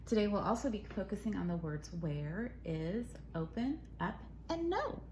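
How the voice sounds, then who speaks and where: Very animated woman reading to children, noisy recording. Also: medium pitch, moderate pace. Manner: exaggerated, sing-song, with dramatic gasps and questions to the child. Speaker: educator reading a picture book aloud. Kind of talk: animated children's read-aloud, modeling core words. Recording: loud noise behind the voice.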